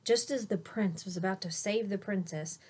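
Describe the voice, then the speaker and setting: A woman's voice: mid-range voice, normal speed, a little mellow, breathy edge. A woman teacher reading a picture book to children, expressively, with explanatory asides along the way. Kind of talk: children's storybook read-aloud with commentary.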